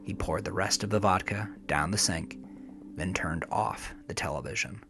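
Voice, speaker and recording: Low-voiced man narrating a dark story. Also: normal speed, over music. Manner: intense, theatrical, swinging from hushed to forceful. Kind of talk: dramatic scripted story narration.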